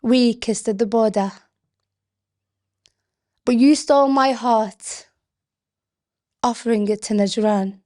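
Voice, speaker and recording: A woman poet from Britain giving a spoken-word reading, delivered in deliberate, expressive, measured phrases. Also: on the high side. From Britain, of Yemeni family.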